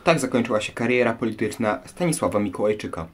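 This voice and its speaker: A man's voice: fairly low pitch, expressive, fast. A man hosting a history audio programme, presenting from a script and putting questions to guests.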